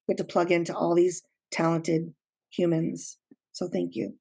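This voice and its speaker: A woman's voice: fairly low pitch, little pitch movement, moderate pace, gravelly, a little mellow, husky. A woman guest chatting casually with a playful, chatty rhythm. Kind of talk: casual chat.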